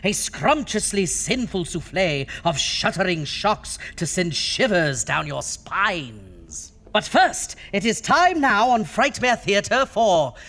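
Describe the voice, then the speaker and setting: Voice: medium pitch, sing-song, takes it slowly, hardly any pauses, fairly bright tone, heavily acted. A performer playing a flamboyant undead doctor who hosts a campy horror radio show with theatrical, mock-sweet delivery. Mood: gleefully macabre. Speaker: host.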